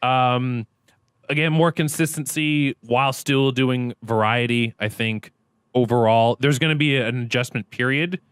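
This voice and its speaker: Smooth-voiced upbeat man. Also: mid-range voice, a little slow, no room sound. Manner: upbeat, jokey and animated. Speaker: podcast guest, streamer and actor. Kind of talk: casual interview chat.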